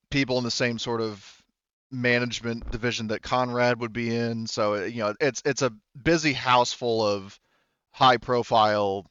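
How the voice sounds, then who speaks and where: A man narrating a tabletop game casually as game master, in a halting, conversational way. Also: medium pitch, a bit bright, clear, resonant tone, no room sound, muffled recording.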